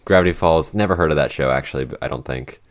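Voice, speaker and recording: A man in a casual unscripted podcast Q&A, talking in a halting, rambling way with lots of "like". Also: very deep voice. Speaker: podcast creator and co-host answering listener questions.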